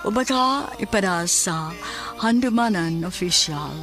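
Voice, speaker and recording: A woman's voice: mid-range voice, lively intonation, measured pace. A woman with a Cebuano accent reading scripted announcements as the announcer of a radio drama. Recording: loud music bed under voice. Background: music.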